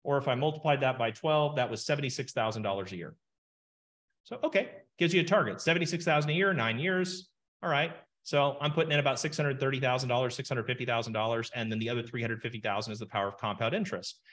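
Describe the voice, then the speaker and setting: Man, 40s, financial planner running a webinar; animated explainer, mid pitch, webcam audio. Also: fast. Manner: animated, explains with numbers and asides. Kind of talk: webinar teaching session. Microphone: webcam video call.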